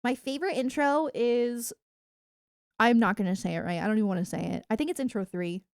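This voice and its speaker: A woman chatting casually to viewers on a livestream in a giggly, animated, rambling way. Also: on the high side, brisk, clear, resonant tone, no echo, clean studio sound. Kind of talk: casual livestream chat about anime and games.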